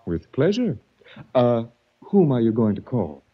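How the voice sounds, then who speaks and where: An actor playing a smooth, sinister sanitarium director in a 1940s radio drama, speaking with menacing politeness. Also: male voice, medium pitch, huge pitch swings, measured pace, heavily acted. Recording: dull old radio recording.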